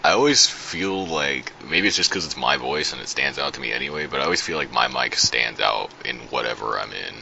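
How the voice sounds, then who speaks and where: A man with a deep, bassy voice in casual podcast chat, speaking calmly and without much animation, recorded on a home mic. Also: brisk, vocal fry, few pauses, slight background noise. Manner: calm, not animated. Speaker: podcast co-host, voice actor. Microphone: home USB mic. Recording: dull lo-fi backup audio.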